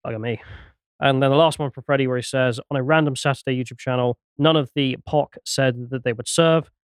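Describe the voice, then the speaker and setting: A man's voice: mid-range voice, steady tone, fairly quick, smooth, dry recording, clean studio sound. A man hosting a casual panel chat and reading out viewer messages.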